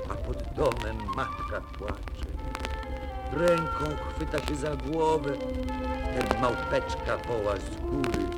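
A man reads a rhymed children's tale on a vinyl record. His delivery is theatrical and slow, with lively swings in pitch. Music plays prominently behind him. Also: poor audio. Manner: theatrical, expressive. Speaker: storyteller. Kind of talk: dramatic rhymed children's storybook narration.

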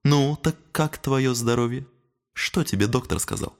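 An actor playing a genteel, down-at-heel devil in an audio drama, talking in an urbane, familiar, teasing way. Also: male voice, medium pitch, measured pace, creaky, darkish tone. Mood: suave, chummy. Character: genteel, shabby male devil, chatty and ingratiating. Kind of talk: dramatic audio play acting.

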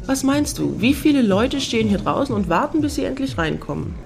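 A woman's voice: fairly high pitch, very animated, brisk, creaky, darkish tone, soft background music. An actress playing a curious, dryly casual woman clubgoer in a satirical radio play. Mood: chatty.

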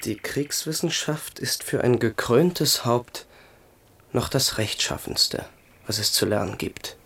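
An actor playing a young crown prince, sarcastic and brooding, in a 1970s radio play. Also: male voice, medium pitch, vocal fry, breathy edge.

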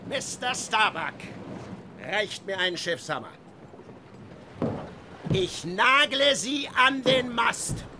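An actor playing an obsessed, tyrannical old whaling captain in a radio drama, with commanding, theatrical oratory. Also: male voice, high voice, lively intonation, takes it slowly, long pauses, background noise. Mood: rousing, fervent.

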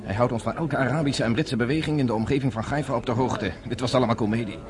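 An actor playing a self-assured, courteous resistance fighter with a dry edge, in radio drama dialogue. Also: male voice, mid-range voice, quick pace, natural voice. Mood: wry, alert. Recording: radio play with loud music, crowd.

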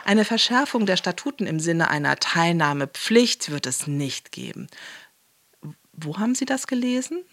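A woman politician on the defensive in a radio play. Her formal statements swing widely in pitch, emphatic and closely recorded with no room sound. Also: animated, normal speed, a bit bright, dry recording. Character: defensive female politician, emphatic official denials.

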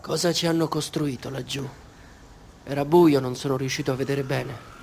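A man playing a gravelly, menacing stranger. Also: medium pitch, fairly even intonation, moderate pace, slight background noise, lightly acted. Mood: brooding. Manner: quiet and brooding, turns crude and taunting. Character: menacing male stranger with money, crude and taunting. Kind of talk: radio play acting.

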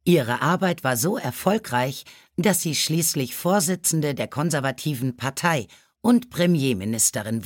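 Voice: medium pitch, no echo, clean studio sound. A narrator reading scripted biographical stories in a storybook style. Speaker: audiobook narrator.

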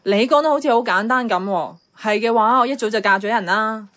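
An actress playing a caring, affectionate and slightly evasive niece in a Hong Kong Cantonese radio drama, conversational and soft-hearted. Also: female voice, on the high side, a little fast, close to an everyday voice. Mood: concerned, evasive.

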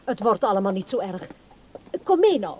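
An actress in a radio play, playing a calm but firm nursing sister. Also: female voice, mid-range voice, moderate pace, close to an everyday voice. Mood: firm, reassuring. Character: firm, calm institutional sister fetching a charge. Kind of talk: Dutch radio play acting. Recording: noisy old radio drama recording.

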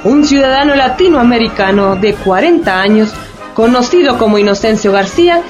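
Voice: medium pitch, lively intonation, moderate pace, soft background music, close to an everyday voice. An actor playing a sensational newsreader, delivering a scripted bulletin in a radio drama.

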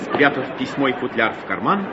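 Medium-pitched male narrator over audience noise. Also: very animated, fairly quick, a loud crowd. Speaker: narrator of stage action. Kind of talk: scripted narration. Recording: muffled, noisy theatre recording, audience sound.

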